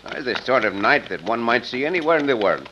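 A suave, courteous cowboy hero in a radio Western. His delivery is bright and formally phrased. The vintage recording sounds dull and slightly noisy. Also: medium pitch, animated, quick pace. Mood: musing, playful. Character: suave, romantic, adventurous Mexican cowboy hero. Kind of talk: old-time radio acting.

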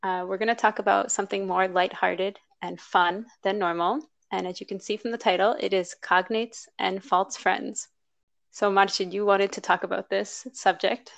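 A woman's voice: medium pitch, little pitch movement, moderate pace, creaky, no room sound. A woman hosting a podcast, upbeat and chatty, with easy laughter in a casual conversation. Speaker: podcast host.

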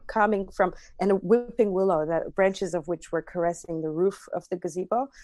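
A woman tells a personal story as a podcast guest, circling back and restarting her sentences. Her voice sits a little low. The recording is dry and close. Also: darkish tone, dry recording. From Armenia.

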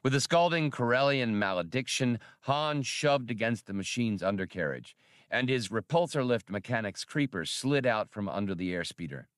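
A man narrating an audiobook in a measured, steady storytelling manner. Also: medium pitch, no room sound, clean studio sound.

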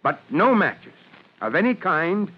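An authoritative fire chief in an old radio drama, with a fairly high voice. He explains with avuncular patience. The sound is dull and vintage. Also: sing-song, unhurried, slightly rough, full character voice. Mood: earnest instruction.

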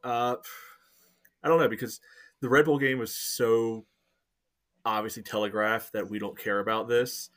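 A man with a slightly low voice talks in a relaxed podcast chat. He hedges his way through each point, leaning on "you know" and "uh" between phrases. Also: normal speed, pauses often. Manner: hesitant, heavy use of "you know" and "uh".

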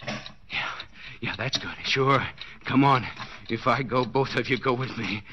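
An actor playing a desperate, guilt-ridden husband in a 1940s radio suspense drama, stammering and repeating himself breathlessly. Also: male voice, mid-range voice, unhurried, sharp tone. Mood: frantic, tense. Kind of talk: 1940s radio suspense acting, interior monologue. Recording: noisy, dull 1940s radio recording.

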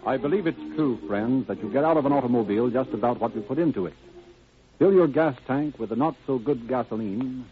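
A man's voice: mid-range voice, brisk, soft background music. A man announcing and narrating a scripted 1930s radio program in a formal, salesmanlike manner. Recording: tinny 1930s radio recording.

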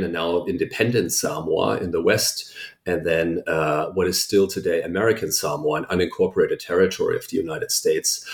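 A German-native man, a historian, answering interview questions about his book, thoughtful and full of ums and restarts. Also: low voice. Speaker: historian author.

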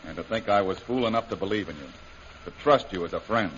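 Man playing a low-voiced gruff adventure hero. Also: fast talker, a bit bright, natural voice. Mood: bitter. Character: defiant, bitter male jungle adventurer held prisoner. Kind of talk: 1930s radio acting. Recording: muffled, hissy old radio recording.

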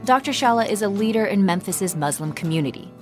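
A woman reporter giving calm, measured scripted narration. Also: medium pitch, faint music behind.

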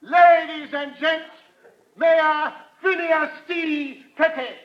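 A grandiose rally announcer in a very high voice. The delivery is florid, declamatory radio comedy oratory, on a muffled vintage recording. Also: male voice, steady tone, slow pace. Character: grandiose political master of ceremonies at a rally.

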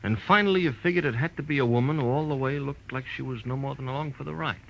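A man's voice: medium pitch, fast talker, creaky, fairly bright tone, dull audio. A man playing a shrewd, teasing police lieutenant in a 1940s radio drama, with a folksy, needling manner. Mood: probing. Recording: noisy old radio recording.

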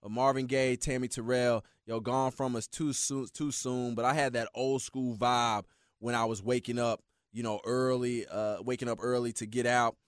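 A man's voice: mid-range voice, little pitch movement, moderate pace, clean tone, dry recording, clean studio sound. A man hosting a motivational talk show, preaching in a hyped, repetitive way. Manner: preachy, hyped, repeats "yeah, yeah, yeah" and "yo". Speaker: motivational radio host.

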